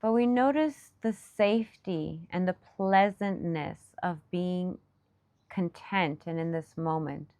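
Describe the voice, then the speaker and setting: A woman leading a guided meditation in a soothing, gentle manner, speaking in short unscripted phrases with long pauses. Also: on the low side, dry recording. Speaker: meditation teacher.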